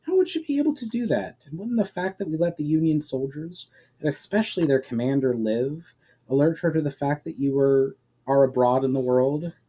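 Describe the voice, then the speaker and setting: A man's voice: fairly high pitch, moderate pace, dark tone, husky, voice pushed up, rough recording. A man voicing a curious, questioning woman warrior with formal speech for a fantasy story. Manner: formal, inquisitive. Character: female warrior, curious, questioning, sometimes sarcastic.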